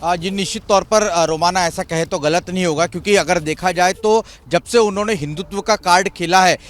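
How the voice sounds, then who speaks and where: A man's voice: high-pitched, a little fast. A man reporting live for television news, explaining in long runs broken by hesitations. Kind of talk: live report in conversation with anchor.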